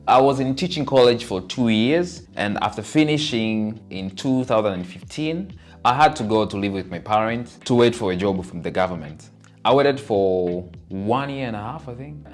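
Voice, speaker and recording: A man in his twenties from Tanzania, telling his life story to his channel in an earnest, animated way. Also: on the low side. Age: 20s. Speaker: content creator. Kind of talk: personal storytelling to camera.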